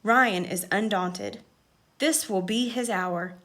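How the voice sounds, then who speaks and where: Woman as an earnest boy commentator, slow and dramatic. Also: mid-range voice, a bit bright. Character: earnest young boy playing solemn dramatic sports commentator.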